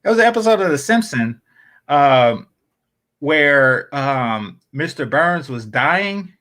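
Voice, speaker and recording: A man talking in a casual podcast chat, rambling with many fillers and restarts, often dropping into low, quiet asides. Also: very animated, takes it slowly.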